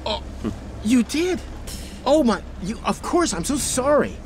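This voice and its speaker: An actor playing an excitable, star-struck actor with a stammering, gushing manner in a radio drama. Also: male voice, very high voice, a little slow, faint music behind, full character voice. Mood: chatty, awed.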